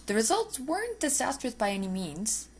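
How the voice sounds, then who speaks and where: A woman's voice: fairly high pitch, big pitch swings, normal speed. A woman voicing an obsessive, possessive but politely spoken female vampire lab technician in an ASMR roleplay, with teasing, hesitant asides. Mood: coy, persuasive. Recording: faint background noise.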